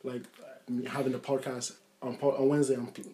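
A man's voice: medium pitch, flat delivery, brisk, mellow. A young man who grew up in Ireland, chatting casually on a podcast and rambling with lots of restarts and fillers. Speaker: podcast co-host. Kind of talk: casual chat.

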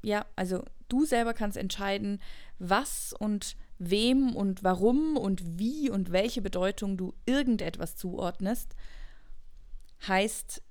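A woman in her late twenties talks through her thoughts as if in a personal audio diary. Her pitch is average and her pace is unhurried. She sounds earnest and introspective, repeating words to stress them as she goes. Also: darkish tone. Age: late 20s, turning 30. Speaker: podcast host. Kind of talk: reflective personal monologue, unscripted.

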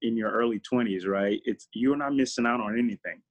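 A man in his thirties, a guest in a casual interview, speaking in a relaxed, reflective way with many fillers. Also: mid-range voice, brisk, highs cut off.

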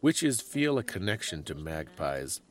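A man voicing a grave old Russian grandmother in a storybook, giving ominous, deliberate warnings. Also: sits low, very animated.

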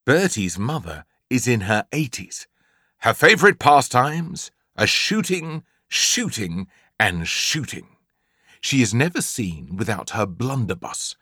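A man's voice: mid-range voice, very animated, takes it slowly, bright, forceful tone, slightly rough, no room sound, studio quality. A man with an English accent narrating a comic children's story in a theatrical, emphatic way, drawing out key words. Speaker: audiobook narrator.

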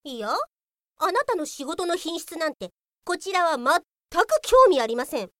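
A woman playing a strict, hot-tempered young policewoman in a comedic radio drama, officious and quick to scold. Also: extremely high pitch, sing-song, dry recording, studio quality, heavily acted. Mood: stern, officious. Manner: officious politeness snapping into scolding.

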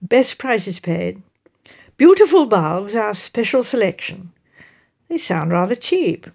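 A woman's voice: medium pitch, sing-song, slow, creaky, darkish tone, exaggerated voice. A woman voicing a middle-aged, upper-middle-class English village mother who rambles on in a fussy, opinionated, genteel way. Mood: musing, opinionated.

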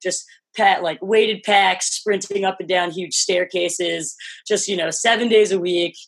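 A woman with a Philly-Jersey accent and a low voice, chatting casually as an interview guest, peppering her talk with "like" and swear words. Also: unhurried, vocal fry, slightly rough. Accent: Philadelphia-New Jersey. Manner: low-voiced, animated, sprinkles "like" and swears freely. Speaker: podcast guest.

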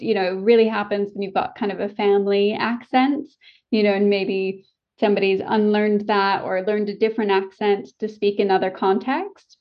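A woman's voice: mid-range voice, dull audio. An American woman who works as a voice and dialect coach, with a slight English tinge to her accent, speaking in an articulate, reflective and enthusiastic way in an interview. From United States. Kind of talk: interview over a call.

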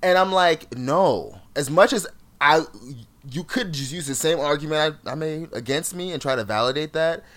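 A man's voice: fairly high pitch, moderate pace, a bit bright. A man from Jamaica co-hosting a podcast, chatting casually in an emphatic way and laughing often. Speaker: podcast co-host. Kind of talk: casual chat.

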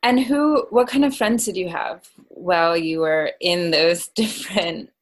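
A woman's voice: fairly low pitch, a little slow. A woman asking informal, conversational questions as an interviewer.

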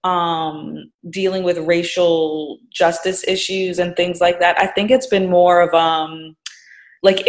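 A woman in casual conversation, thoughtful and hesitant, with many fillers. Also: on the low side.

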